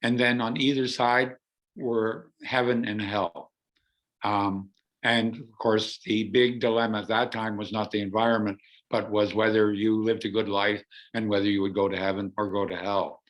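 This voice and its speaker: A hoarse man from Canada with laryngitis, an artist earnestly explaining his artwork over a video call. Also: on the low side, little pitch movement, moderate pace, rough recording.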